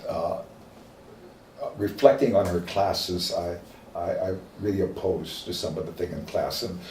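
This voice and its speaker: Older Midwestern man, deep, reflective. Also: mellow. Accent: Midwestern American. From American Midwest. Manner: reflective, halting with many fillers. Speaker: acting teacher interviewee. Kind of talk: oral history interview. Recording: roomy distant mic with faint noise.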